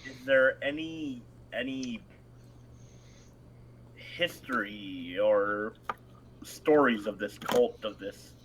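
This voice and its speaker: Slow, measured man playing the game. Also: mid-range voice, a little hiss or hum, rough recording. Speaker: player.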